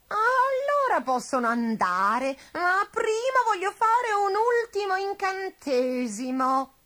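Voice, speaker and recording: A woman voicing a lonely old witch from a children's storybook, tearful and pleading, with a wailing, drawn-out, emotional delivery. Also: extremely high pitch, sing-song, pushed and bright, voice pushed up, exaggerated voice. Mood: urgent, insistent. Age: older.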